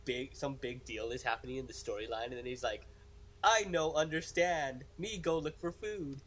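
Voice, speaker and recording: A man's voice: medium pitch, normal speed, fairly bright tone. A young man in casual gaming chat as a podcast co-host, telling rambling stories and acting out quotes.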